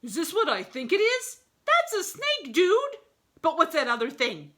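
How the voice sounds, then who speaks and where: Casting: high-pitched, jittery storybook dog, shrill, dramatic and easily frightened. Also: female voice, wildly swooping pitch, unhurried, a bit bright, heavily acted. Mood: baffled. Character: panicking pound dogs, frightened, confused, shrieking.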